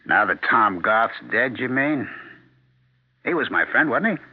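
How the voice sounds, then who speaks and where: A brooding, paranoid husband talks in bitter, defensive bursts. His pitch moves around a great deal. It is 1970s radio acting on a thin, narrow broadcast recording. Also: sing-song, measured pace, slightly rough. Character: sullen, paranoid, hard-drinking husband.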